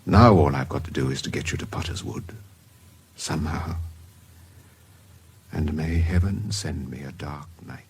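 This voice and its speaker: An actor playing a mild, secretive middle-aged gentleman with an educated British accent in a radio drama. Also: extremely low pitch, unhurried, pauses often, slightly rough, heavily acted. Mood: quietly scheming. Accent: educated British English. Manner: hesitant, polite, furtive. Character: mild, secretive grey-haired gentleman schemer.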